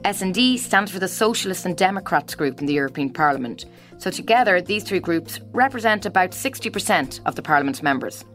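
Female news presenter, low-pitched, measured and friendly, light music bed. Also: fairly bright tone. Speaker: news host.

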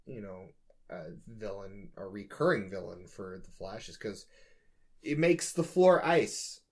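A man's voice: fairly low pitch, expressive, a little slow, darkish tone. A man co-hosting a podcast, chatting casually and riffing with jokes. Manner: joking, riffing. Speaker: podcast co-host. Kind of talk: casual comedic chat.

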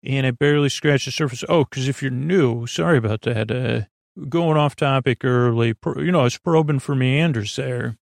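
A man with a creaky, lulling voice hosting a sleep podcast, meandering through halting, tangential bedtime talk. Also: mid-range voice, no echo, clean studio sound. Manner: meandering, tangential, halting, self-deprecating nonsense. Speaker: podcast host. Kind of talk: rambling bedtime monologue to help listeners sleep.

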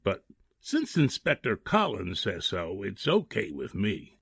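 Husky, swooping, folksy prison guard played by a man. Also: on the low side, huge pitch swings, unhurried, no echo, studio quality, full character voice. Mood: gruff, obliging. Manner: folksy, colloquial. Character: plain-spoken, obliging prison guard. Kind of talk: 1940s radio drama acting.

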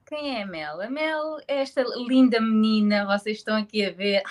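Chatty, playful woman in her forties. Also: a little high. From born in Angola, grew up in Portugal. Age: 40s. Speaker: interview guest. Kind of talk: casual chat.

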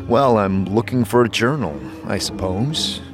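A man voicing a guarded, terse scarred wanderer in a fantasy audio drama, halting and dry. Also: fairly low pitch. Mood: musing. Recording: music behind the voice.

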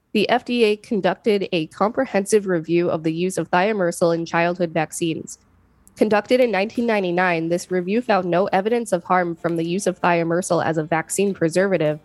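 A woman reading an encyclopedia article aloud as a scripted narration. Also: on the low side.

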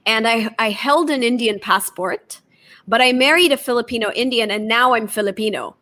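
A woman with a fairly high voice hosts a conversational interview. She asks open, reflective questions and mixes in personal asides between them. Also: normal speed, sharp tone. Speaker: interview host.

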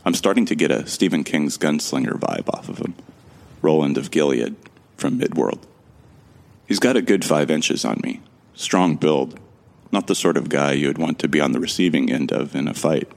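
Super low gravelly guy telling his own story in an audio drama, friendly, kinda wry, amnesiac stranger. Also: moderate pace, darkish tone. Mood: reflective. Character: Jake Fisher, amnesiac man, observant and friendly. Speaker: narrator and lead character of an audio drama. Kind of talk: first-person dramatic narration.

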